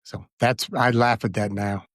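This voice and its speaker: Older man in his sixties, podcast guest, lowish voice, dry close sound, earnest and unhurried. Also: fairly even intonation, a bit bright, dry recording. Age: 60s. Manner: earnest, measured, with frequent fillers. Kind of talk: reflective conversational interview.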